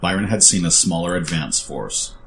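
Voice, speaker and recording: A man's voice: deep voice, lively intonation, moderate pace, vocal fry, a bit bright, a little hiss or hum. A man narrating a scripted fantasy story as its storyteller.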